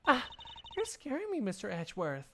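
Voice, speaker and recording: A man's voice: high-pitched, wildly swooping pitch, brisk, heavy background noise, voice pushed up, heavily acted. A man voicing a dim, dreamy young female flight attendant with an airy, drawling, vague way of talking in a live game fandub. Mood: startled.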